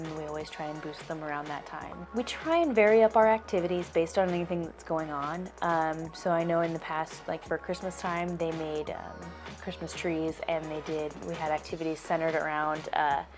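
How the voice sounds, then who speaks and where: A woman on a museum staff explaining family activities in an interview, friendly, with fillers. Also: low voice. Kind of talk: interview answers.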